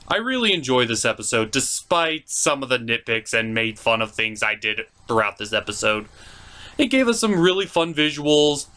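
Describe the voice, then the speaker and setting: A man with a fairly high voice delivers a scripted comedic review. His pitch moves around a lot, with theatrical emphasis and a mock-incredulous edge. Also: sharp tone.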